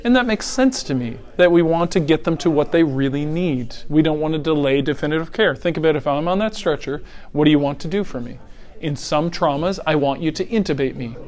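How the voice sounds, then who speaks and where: A man's voice: a little high, lively intonation, brisk, a little mellow. A middle-aged man, a paramedic educator, giving a conversational lecture that walks through scenarios and asks the audience rhetorical questions. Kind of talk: teaching lecture on airway decisions.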